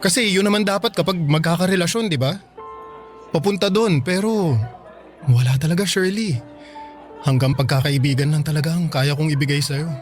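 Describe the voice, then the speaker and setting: An actor playing a kind but frank young man in a Filipino radio drama, gentle and candid with a Filipino accent. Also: a little high, moderate pace, pauses often, faint music behind, natural voice. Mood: apologetic, firm.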